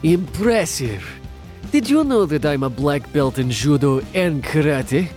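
A man playing a taunting, grandiose supervillain in a superhero audio drama, with theatrical, pompous, drawn-out delivery. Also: fairly high pitch, very animated, a little mellow, soft background music. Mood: smug.

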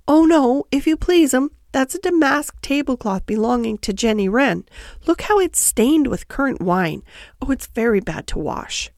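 Lady doing a fussy little hedgehog washerwoman for a kids story, chatty n deferential, high bouncy voice, super dry sound. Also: expressive, normal speed, a little mellow, no room sound. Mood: eager, deferential. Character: eager, polite, stout little hedgehog washerwoman. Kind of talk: children's storybook character voice.

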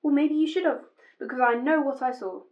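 A woman's voice: high voice, fairly quick, muffled recording, close to an everyday voice. A woman playing a chatty, sceptical railway worker in an amateur audio drama. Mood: shaken, insistent. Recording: clear background noise and ambience.

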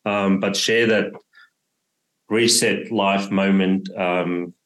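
A man in his forties from South Africa, first language Afrikaans, guesting on a podcast and telling his story in a relaxed, casual way with plenty of ums and restarts. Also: sits low, slow. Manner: relaxed, many fillers and restarts. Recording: roomy echo.

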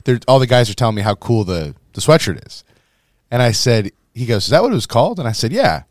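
A hoarse man, a priest co-hosting a podcast in rambling casual chat. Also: medium pitch, very animated, fast, no room sound, studio quality. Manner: rambling, storytelling with laughs.

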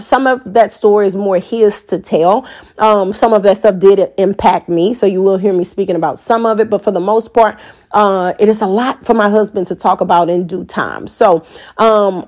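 An American woman in her forties talks as a podcast host in a casual, unscripted monologue. Her voice sits at a medium pitch for a woman. She is candid and colloquial, speaking as if in conversation with her listeners. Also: darkish tone. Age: 40s.

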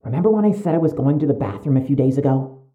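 An actor playing a suspicious young medical student in an audio drama, speaking with precise, urgent, stiffly formal wording. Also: male voice, medium pitch, lively intonation, racing, dark tone, close to an everyday voice. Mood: wry, insistent.

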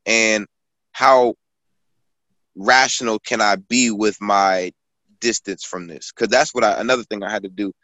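A man's voice: fairly low pitch, fairly even intonation, unhurried, long pauses, sharp tone, dry recording. A man in his twenties, a guest author and poet, talking casually in a rambling storytelling way, full of fillers and restarts. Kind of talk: casual conversation.

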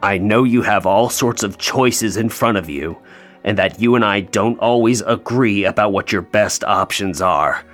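An actor playing a bitter, grieving North Pole elf in an audio play, sarcastic and emotionally open. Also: male voice, lowish voice, measured pace, fairly bright tone, soft background music. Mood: tender, moved.

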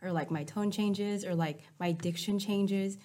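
A woman's voice: mid-range voice, steady tone, unhurried, dark tone. A young woman from Vietnam whose first language is Vietnamese, chatting casually with many filler words as a podcast guest. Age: teens. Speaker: guest student. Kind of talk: casual conversation.

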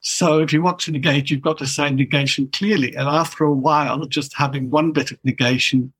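A man's voice: fairly high pitch, steady tone, fairly quick, fairly bright tone, husky. An older man, a guest linguist in an interview, explaining in a wry, chuckling way.

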